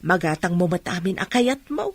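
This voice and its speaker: An actress with an Ilocano accent playing a concerned, comforting younger neighbour in a radio drama. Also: female voice, on the low side, big pitch swings, normal speed, slightly rough, no room sound, exaggerated voice.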